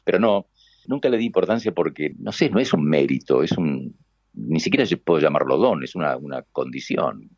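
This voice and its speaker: An older man with an Argentine Spanish accent who has spent a career as a radio announcer. His voice sits extremely low, with a gravelly, booming texture. The recording is dry and close, with a slightly muffled, lo-fi sound. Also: animated, normal speed, no room sound. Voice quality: deep booming voice. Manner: warm reminiscing, acts out quoted voices. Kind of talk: conversational storytelling.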